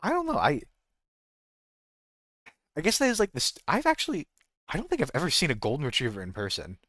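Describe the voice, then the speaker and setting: American man, very lively, swooping pitch. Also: fairly quick, no echo, clean studio sound. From United States. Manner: rambling, self-correcting, jokey. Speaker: game streamer. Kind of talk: casual livestream chat.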